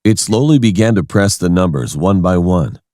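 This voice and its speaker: A man's voice: deep voice, expressive, moderate pace, darkish tone, dry recording, studio quality. A man reading a scripted first-person horror story as its narrator.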